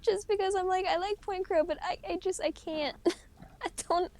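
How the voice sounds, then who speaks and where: A young woman streamer with a high voice, chatting casually and rambling, often giggling and restarting her sentences. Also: steady tone, moderate pace, darkish tone, a little hiss or hum. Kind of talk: casual gaming chat.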